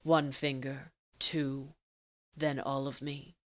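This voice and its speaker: A woman voicing a calm, seductive man who speaks in a formal, measured, teasing way in an audiobook romance. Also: rumbling low. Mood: low, commanding, teasing. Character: calm, commanding, seductive male lover, low and deliberate.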